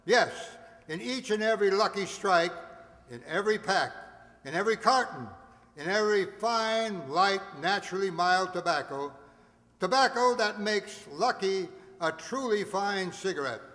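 High, bright 1940s radio pitchman played by a man. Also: expressive, moderate pace. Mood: earnest selling. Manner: declamatory sales patter with built-up repetition. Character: male 1940s radio cigarette pitchman, earnest and emphatic. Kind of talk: 1940s radio advertisement acting. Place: stage in a hall. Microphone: microphone on a stand. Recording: echoing hall sound.

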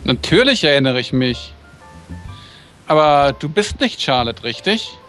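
A man's voice: on the high side, sing-song, a little slow, a bit bright, soft background music. A man playing a friendly, polite and slightly hesitant schoolboy love interest in a visual novel. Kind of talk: visual novel dub reading.

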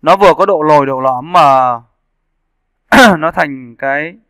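A man narrating a casual software tutorial as an instructor, explaining step by step and checking in with his listeners. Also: a little high, takes it slowly, clean tone, no room sound, clean studio sound. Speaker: 3D animation instructor. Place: indoors, screen-recording voice-over.

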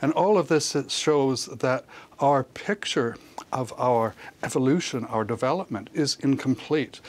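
Brief: older male professor, mid-pitched, measured and explanatory, television interview guest. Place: television studio, remote link.

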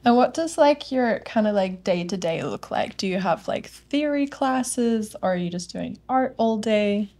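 A woman's voice: medium pitch, lively intonation, a little slow, hardly any pauses, darkish tone. A young woman from Australia hosting a casual student panel chat, upbeat and chatty, on a lapel mic in a small studio. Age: young adult. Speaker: host, student ambassador. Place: studio with curtains and plants.

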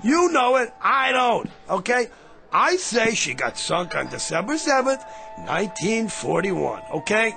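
An actor playing a brash, fast-talking man who claims to be going back in time, with a pushy, street-smart manner in a radio drama. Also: sits high, very animated, gravelly, full character voice. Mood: cocky. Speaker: lead character. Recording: radio play with music.

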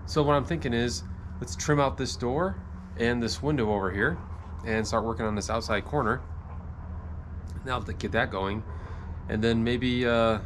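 A man's voice: medium pitch, moderate pace, pauses often, fairly bright tone, slight background noise. A man in his forties talking casually to camera as a builder vlogging his work plans, in a colloquial, folksy, self-deprecating way. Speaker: home improvement vlogger, builder. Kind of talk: casual vlog talk about work plans. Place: job site, outdoors and in unfinished house.

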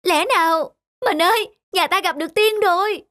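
A woman voicing a kind, earnest young peasant wife in an animated folk tale, with a gentle manner. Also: very high voice, normal speed. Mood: astonished.

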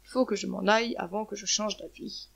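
A woman's voice: medium pitch, fairly even intonation, a little fast, vocal fry. A woman voicing a proud but tender eagle in a children's story, earnest and self-questioning. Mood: tender, resolved. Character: proud eagle, king of birds, turned tender. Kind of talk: children's storybook character reading.

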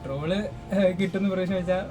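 Brief: male, high voice, rapid casual interview delivery, music clearly behind. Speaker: interviewee, sound engineer and dubbing artist. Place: small dubbing studio suite.

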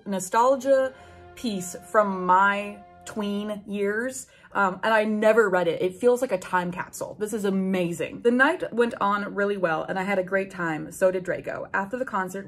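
A young adult woman reading fan fiction aloud with animated, sarcastic casual commentary and free swearing. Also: medium pitch. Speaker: book video host. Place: small room at home with bookshelves.

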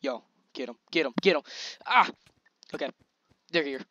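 Excitable young man with a scratchy voice. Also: on the high side, normal speed, no room sound, highs cut off. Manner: excitable, chatty, slangy hype while playing. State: slightly scratchy voice. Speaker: gaming channel host. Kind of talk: casual gameplay commentary.

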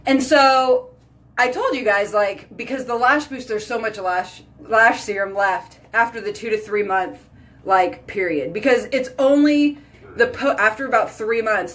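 Brief: thirties woman, casual home beauty vlog, chatty and animated, phone mic at arm's length. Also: medium pitch, normal speed. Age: 30s. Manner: chatty, animated, lots of "like" and "y'all". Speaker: beauty vlogger. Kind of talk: casual chat to camera. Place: small bedroom or bathroom at home. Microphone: phone camera at arm's length.

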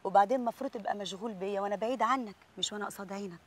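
A woman's voice: mid-range voice, racing, natural voice. An actress playing a young woman in love who speaks tenderly to her sweetheart, with an Egyptian accent. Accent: Egyptian Arabic. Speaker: Nora.

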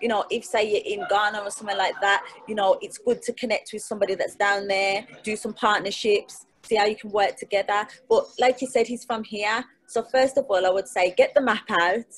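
A woman's voice: medium pitch, steady tone, a little fast, hardly any pauses, rough recording. A woman, speaking as a guest on a video call from her living room, talking in an emphatic, motivational way and repeating phrases for stress. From England. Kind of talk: casual video call interview. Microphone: webcam or laptop mic on a video call.

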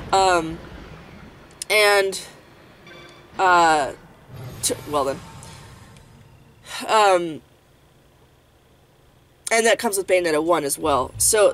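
A woman's voice: fairly low pitch, a bit bright. A young woman hosting a gaming channel, chatting casually while she plays, congested and sniffly, rambling with lots of fillers and restarts. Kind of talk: casual let's-play chat. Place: home room, indoors. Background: game music.

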